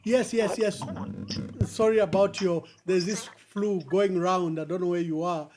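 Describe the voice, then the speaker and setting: High-voiced man hosting calls. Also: steady tone, a little slow, darkish tone. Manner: brief, polite prompts to callers. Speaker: host. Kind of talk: live call-in show.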